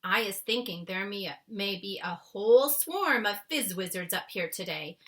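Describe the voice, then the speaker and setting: A big friendly giant from a children's storybook, acted out by a woman, easily worked up and emphatic. The voice is bright and forceful, swinging widely in pitch, with muddled grammar and nonsense words. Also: animated, normal speed, close to an everyday voice. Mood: firm, hushing. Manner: exclamatory, emphatic delivery. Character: excitable big friendly giant, male, kindly, easily distressed.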